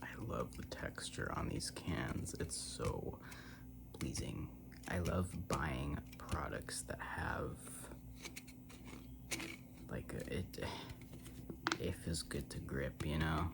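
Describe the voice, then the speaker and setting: An American ASMR creator rambling softly and casually in a breathy half-whisper, with a self-deprecating manner, close to the microphone. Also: a bass voice, slow, pauses often. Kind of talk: soft-spoken ASMR rambling. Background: tapping and scratching on cans.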